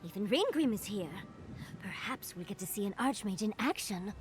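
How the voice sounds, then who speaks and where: Lively, wry female companion played by an actress. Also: medium pitch, normal speed, slight background noise, lightly acted. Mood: wry, pleased. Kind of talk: video game cutscene dialogue.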